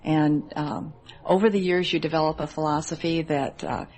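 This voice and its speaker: A woman's voice: low-pitched, fairly even intonation, normal speed, darkish tone, soft background music. A woman in her thirties with an American accent, giving conversational answers as an interview guest. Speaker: interview guest, Army wife.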